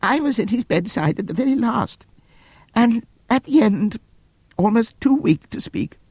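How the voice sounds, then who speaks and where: A man's voice: very high voice, expressive, a little slow, darkish tone, husky, no echo, pitched above the performer's own voice, heavily acted. A man voicing an oily, falsely tearful pig propagandist in an audiobook, mournful, theatrical and sanctimonious. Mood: mock grief.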